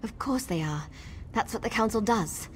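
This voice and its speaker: A woman's voice: medium pitch, expressive, normal speed, close to an everyday voice. A woman playing an earnest, impatient young elf in a fantasy game, speaking expressively. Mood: exasperated. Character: eager, earnest young female elf rebel. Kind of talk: fantasy video game voice acting. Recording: faint background noise.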